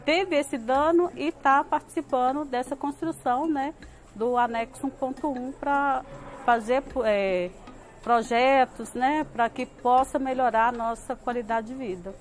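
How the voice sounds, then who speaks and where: A woman's voice: sits high, measured pace, slight background noise, low-quality recording. A Brazilian woman speaking as a community member in interview testimony.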